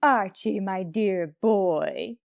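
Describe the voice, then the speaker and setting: An actress playing a haughty, fussy society matron with affected, melodramatic upper-class diction in old-time radio comedy. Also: female voice, medium pitch, extremely slow, gravelly, a little mellow, dry recording. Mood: melodramatic, suffering. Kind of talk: 1940s radio comedy acting.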